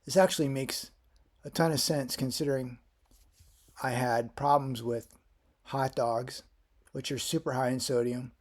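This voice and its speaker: A man's voice: medium pitch, steady tone, measured pace, pauses often. Man, 50s, from Florida, casual vlog chat, rambling, lots of restarts. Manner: rambling, with frequent restarts and fillers. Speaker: vlogger.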